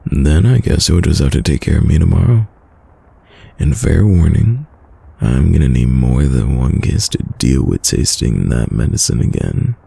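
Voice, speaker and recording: A man playing a tender, teasing boyfriend in an intimate, soft-spoken ASMR roleplay, with a very deep, gravelly voice. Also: heavily acted. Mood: affectionate, soothing. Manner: slow, soft-spoken, soothing, affectionate. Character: tender, teasing young boyfriend caring for sick partner. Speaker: voice actor.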